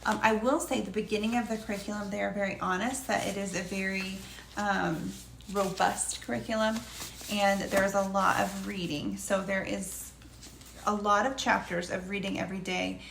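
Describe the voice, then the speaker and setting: Middle-aged woman, homeschool mom reviewer, scratchy and gravelly, chatty and unscripted; roomy sound. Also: mid-range voice, level tone, moderate pace, a bit bright. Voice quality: slightly scratchy, creaky voice. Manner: conversational, meandering. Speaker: homeschooling mother reviewing a curriculum. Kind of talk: casual review vlog, unscripted. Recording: echoey room with faint background noise.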